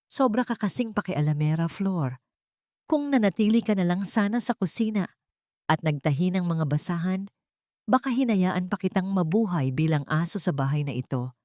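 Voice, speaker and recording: A woman's voice: lowish voice, expressive, moderate pace, darkish tone, dry recording, studio quality, lightly acted. Woman voicing a cold, contemptuous villain husband. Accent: Filipino. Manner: menacing, scornful.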